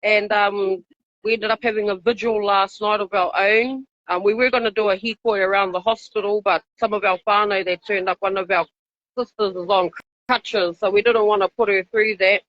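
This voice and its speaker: A woman's voice: medium pitch, fairly even intonation, moderate pace, fairly bright tone, no echo. A woman with a New Zealand accent giving an earnest, informal live interview as an activist. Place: outside a hospital, live call. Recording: dull lo-fi live stream audio.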